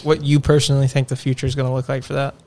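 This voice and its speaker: A young man hosts a laid-back podcast and tosses easy questions to a friend. His voice sits at an ordinary middle pitch and his manner stays relaxed. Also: very fast. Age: young adult. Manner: easygoing, asks questions. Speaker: podcast host. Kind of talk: casual chat.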